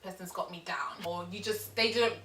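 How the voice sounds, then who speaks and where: A woman in her twenties in a casual panel chat, speaking in a measured, thoughtful way. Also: fairly low pitch, fairly bright tone. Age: 20s. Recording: echoey room, distant mic, faint hiss.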